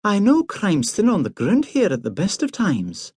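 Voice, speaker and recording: A man reading aloud and voicing a brisk, scolding young upper-class woman. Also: high-pitched, huge pitch swings, darkish tone, dry recording, clean studio sound, voice pushed up, exaggerated voice. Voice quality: crisp, brisk.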